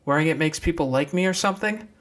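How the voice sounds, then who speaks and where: A man's voice: fairly high pitch, fast, a bit bright, voice pushed up, lightly acted. A man playing an anxious but determined young woman in an audiobook, earnest and plain-spoken.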